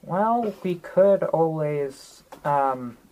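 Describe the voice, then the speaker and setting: A woman's voice: deep voice, expressive, extremely slow, mellow, poor audio. A woman chatting casually in character as a player in a tabletop game.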